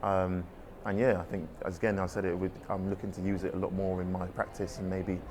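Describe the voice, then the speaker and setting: Fast-talking deep-voiced man. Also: level tone, noisy background. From London, England. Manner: rambling, hedging with "sort of" and "kind of". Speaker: artist. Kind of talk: casual interview answers. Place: art exhibition space.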